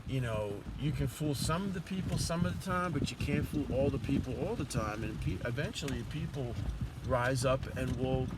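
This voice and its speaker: A man rambling in a vlog monologue, halting often with fillers and restarts. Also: mid-range voice, heavy background noise. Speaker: vlogger.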